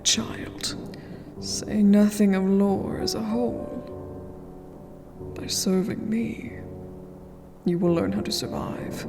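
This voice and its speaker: A woman playing a cold, stern vampire queen in a fantasy ASMR roleplay, regal and commanding. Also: medium pitch. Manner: slow, commanding, breathy whispered delivery. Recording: long hall reverb, music underneath.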